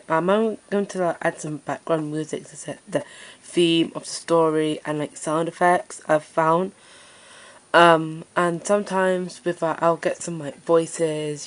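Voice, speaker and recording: A woman with a deep voice introducing her story reading channel in a nervous, hesitant way, full of fillers. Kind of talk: casual self-introduction to camera.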